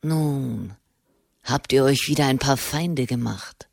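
Grumbling old hermit monk put on by a woman reader, deep and slow, dry close sound. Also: gravelly, a little mellow, no echo, full character voice. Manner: growling, cutting. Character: gruff old hermit monk, scornful and sharp-tongued.

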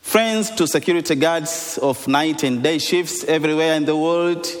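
A male priest from Tanzania preaching a sermon in short phrases, pausing between them for an interpreter. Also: fairly high pitch. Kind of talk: sermon delivered in English with consecutive interpretation. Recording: reverberant hall.

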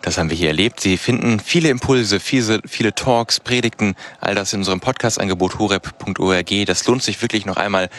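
A man hosts a live radio broadcast in a low-pitched voice. He presents and puts questions to his guest as part of the live programme. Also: a little fast, hardly any pauses, fairly bright tone. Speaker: radio host. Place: outside-broadcast van.

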